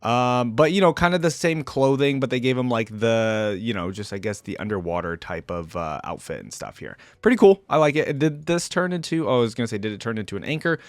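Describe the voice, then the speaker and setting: A man's voice: mid-range voice, animated, a little fast, few pauses, dry recording. A man hosting a reaction video, giving casual, informal and exclamatory commentary.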